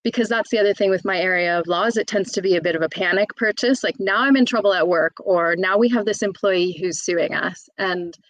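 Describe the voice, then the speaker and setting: A woman's voice: mid-range voice, a little fast, few pauses, dry recording, low-quality recording. A woman, an employment solicitor in her thirties, talking openly and chattily as an interview guest, with plenty of fillers and restarts. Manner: open, chatty, frequent fillers and self-restarts. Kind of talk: candid conversational interview.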